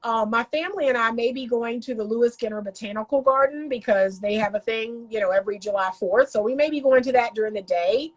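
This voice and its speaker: A woman's voice: a little high, fast talker, few pauses, poor audio. A woman, congested from a cold, giving casual relationship-coaching commentary to her viewers, emphatic and repeating her points.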